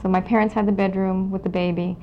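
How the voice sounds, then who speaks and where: A woman in her forties from Los Angeles, recalling her family history in an oral history interview, speaking in a reflective, halting way with many pauses. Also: mid-range voice, little pitch movement. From Los Angeles, California. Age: 40s. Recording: dull lo-fi 1992 tape with faint hiss.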